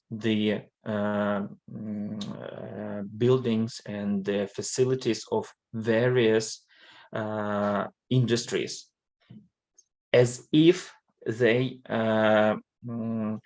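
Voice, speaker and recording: A man from Western Ukraine, a native Ukrainian speaker, giving a hesitant live-streamed presentation in English with frequent pauses and fillers. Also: lowish voice, darkish tone. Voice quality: linguist.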